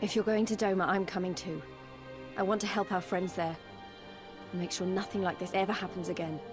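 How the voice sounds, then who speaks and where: A woman's voice: on the low side, fairly even intonation, fairly quick, over music, close to an everyday voice. A woman voicing an earnest young resistance fighter. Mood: determined. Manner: earnest, impassioned. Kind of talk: game cutscene voice acting. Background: orchestral game score.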